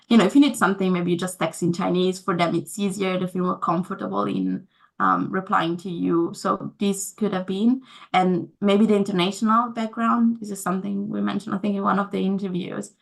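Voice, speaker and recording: A woman's voice: lowish voice, vocal fry. A young woman, not a native English speaker, answering interview questions casually, with many fillers and restarts. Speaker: guest.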